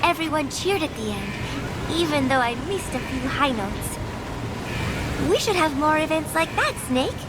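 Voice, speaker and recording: An actress playing a sweet, innocent and wistful young student with a gentle, earnest, girlish manner in a video game cutscene. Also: sits high, very animated, unhurried, heavy background noise. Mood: wistful, cheerful.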